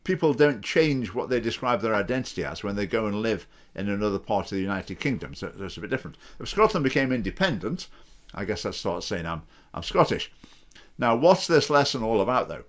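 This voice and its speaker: A man's voice: lowish voice, very animated, fairly quick. Opinionated man talking to camera. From England. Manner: opinionated, sarcastic, mocking quoted voices. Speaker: political party spokesman. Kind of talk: commentary to camera. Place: home study with bookshelves. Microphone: webcam video call framing.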